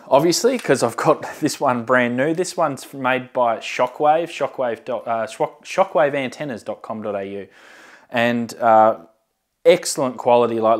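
A man in his thirties talking casually to camera as a hobbyist video host, giving a hands-on show-and-tell. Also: medium pitch. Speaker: amateur radio hobbyist and channel host. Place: indoor workshop storeroom with shelving. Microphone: lapel mic.

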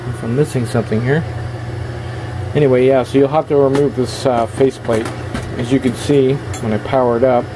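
A man giving casual, halting hands-on repair commentary, thinking aloud as he works in a small workshop. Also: mid-range voice. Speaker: electronics repair hobbyist hosting a video. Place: small indoor workshop at a workbench. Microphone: handheld camera's built-in microphone. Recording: noisy handheld camera sound, steady background hum.

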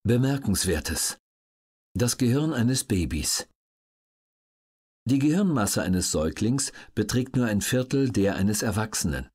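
A man reading scripted documentary audiobook narration in a measured, factual manner. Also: fairly low pitch, expressive, darkish tone, breathy edge.

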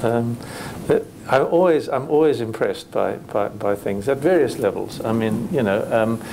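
A man's voice: mid-range voice, moderate pace, gravelly, darkish tone, slight background noise, poor audio. A man speaking as a panelist at a hotel industry conference, thoughtful and hesitant with many restarts.

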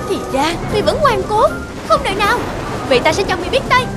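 A woman's voice: very high-pitched, sing-song, brisk. A woman voicing a resolute, indignant sea goddess in a cartoon, stern and impassioned. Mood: defiant. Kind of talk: animated cartoon dub. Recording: loud music behind the voice.

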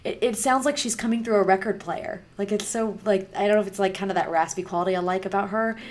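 A woman in her thirties with a raspy voice, chatting casually and rambling to camera about a song she is reacting to, recorded on a webcam in a small home room. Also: mid-range voice, fairly even intonation, quick pace, a little mellow. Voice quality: raspy voice from singer's nodules. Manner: chatty and rambling, many fillers. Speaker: music reaction vlogger. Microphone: webcam, wearing headphones.

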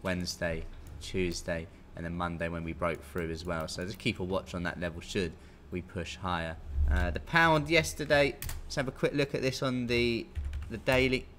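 A man's voice: sits low, steady tone, moderate pace, hardly any pauses, fairly bright tone. A British man in his thirties giving an informal, conversational live market briefing as a technical analyst. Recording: clear background noise.

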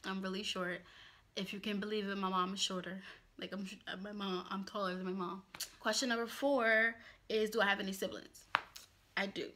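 A woman's voice: mid-range voice, moderate pace, slight background noise. A woman in her twenties from Pennsylvania, chatting candidly to camera in a casual vlog from a small home office. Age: 20s. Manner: chatty, candid, expressive hand gestures. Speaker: YouTuber.

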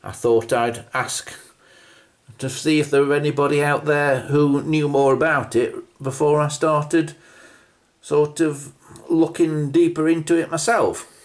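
A man's voice: a little high, fairly even intonation, measured pace. A British man giving an unscripted hands-on show-and-tell, musing as he goes and posing rhetorical questions. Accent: British English. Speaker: presenter of a vintage telephone video.

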